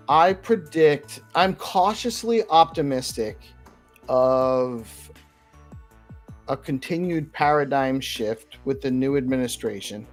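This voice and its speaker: A man talks in loose, meandering sentences, full of ums and restarts. His voice sits a little above the usual man's pitch. Soft music plays faintly behind him. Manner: rambling, hesitant, many fillers. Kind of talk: casual chat.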